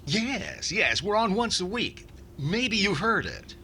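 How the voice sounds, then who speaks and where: A man's voice: high-pitched, big pitch swings, takes it slowly, sharp tone, exaggerated voice. Guy doing fussy cheapskate 40s radio comedian, defensive and exasperated, super swoopy voice, noisy recording. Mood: flustered. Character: fussy, stingy middle-aged male radio comedian, flustered and defensive. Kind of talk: 1940s radio comedy acting.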